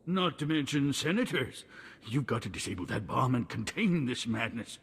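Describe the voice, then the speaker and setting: A self-important senator in a game cutscene. His mid-pitched voice has a rough, husky edge, and he delivers everything with grandiose political flourish. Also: sing-song, normal speed, fairly bright tone, heavily acted. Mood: brisk, self-important. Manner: grandiose, theatrical politician's patter. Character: pompous, smooth-talking male politician, a senator. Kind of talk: video game cutscene acting.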